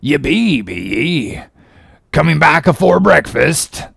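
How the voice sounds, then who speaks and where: A male narrator acts out a cryptic old sailor from a storybook sea tale. The voice swings higher and louder, repeating odd phrases in salty old dialect, taunting and half-mad, recorded close and dry. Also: big pitch swings, slow, gravelly, husky, dry recording, heavily acted. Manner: odd, muttering repetitions in old nautical dialect.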